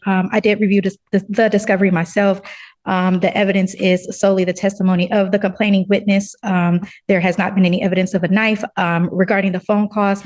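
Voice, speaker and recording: A woman speaking as a defense attorney in court, hesitant and halting as she searches for words. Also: medium pitch, darkish tone. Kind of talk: spoken argument in court.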